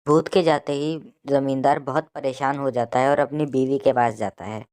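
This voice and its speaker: A woman's voice: extremely low pitch, fairly even intonation, normal speed, a little mellow, no echo. A woman narrating a scripted cartoon story.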